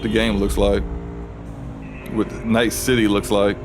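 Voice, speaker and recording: A man with a deep voice chatting casually while he streams a video game, rambling and restarting his sentences. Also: a little slow, music in the background. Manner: rambling, relaxed, frequent restarts. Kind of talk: casual gaming livestream commentary.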